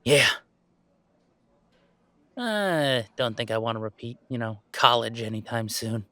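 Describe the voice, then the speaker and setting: Guy playing a chill young werewolf gamer, takes his time, kinda wry and halting, super dry close sound. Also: medium pitch, lively intonation, sharp tone, dry recording. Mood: animated, mocking. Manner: casual, halting, wry, conversational. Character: laid-back young male werewolf gamer, wry and chatty. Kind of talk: intimate roleplay audio, naturalistic one-sided conversation.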